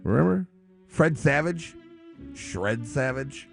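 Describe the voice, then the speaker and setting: A man hosting a radio show with casual, off-the-cuff chat, rambling, halting and repeating himself. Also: mid-range voice, expressive, creaky, over music.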